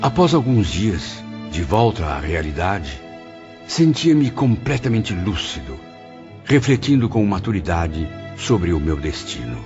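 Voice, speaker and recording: A penitent male spirit in an audio drama, his voice very low and grave. He speaks in measured, literary phrases, lucid and reflective. The sound is dull and narrow, with music clearly underneath. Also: sing-song. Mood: resolute, reflective. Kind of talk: audio drama acting and first-person narration.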